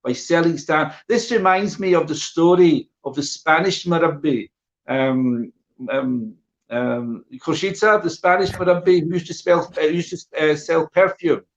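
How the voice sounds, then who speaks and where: A middle-aged man with a slightly high voice shares recollections in an informal online talk. He repeats phrases for emphasis and keeps checking in with listeners. The audio is dull and a little muffled. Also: measured pace. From born in Guyana. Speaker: guest speaker sharing memories of his father. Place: live online video call.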